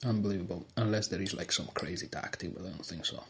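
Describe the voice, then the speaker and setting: A man with a deep voice talking quietly through his moves as he plays an online chess game. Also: fast talker, a little hiss or hum. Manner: quiet, low-voiced thinking aloud. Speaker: chess streamer. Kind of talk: live game commentary.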